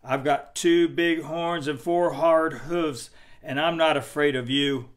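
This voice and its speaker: A man voicing a big, heavy, fearless billy goat with a big loud voice in a children's story. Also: a little high, fairly even intonation, slow. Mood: bold, defiant.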